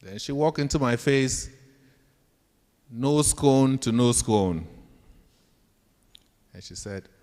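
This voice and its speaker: A man's voice: medium pitch, takes it slowly, pauses often, darkish tone. A man with a Ghanaian accent giving a storytelling leadership talk to students as a professor, acting out bits of dialogue in an anecdotal way. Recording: echoey hall with long reverb.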